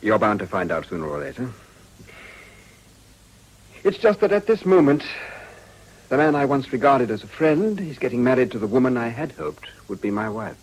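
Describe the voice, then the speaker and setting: A courteous upper-class British gentleman of politics in a period radio play. His voice is a little husky and moves expressively, while his manner stays measured, reserved and melancholy. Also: medium pitch, very animated, rough recording. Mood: subdued, bitter. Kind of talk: period radio drama acting.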